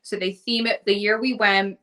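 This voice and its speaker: A woman with a Baltimore accent chats casually as a podcast co-host. Her voice is bright and sits at a middle pitch. She rambles, leans on "like" and "um", and corrects herself mid-sentence. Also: steady tone. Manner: rambling, full of "like" and "um", quick self-corrections. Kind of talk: casual chat.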